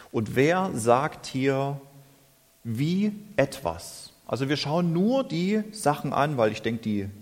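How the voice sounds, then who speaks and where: Male preacher from Germany, thoughtful unhurried sermon, medium pitch, church hall echo. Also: a little mellow. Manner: slow, deliberate, thoughtful delivery. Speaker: lay preacher. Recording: reverberant hall.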